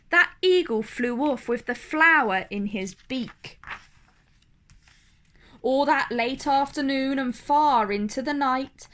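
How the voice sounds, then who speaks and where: A woman reading a rhyming children's story aloud, expressive, deliberate and theatrical. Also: sits high.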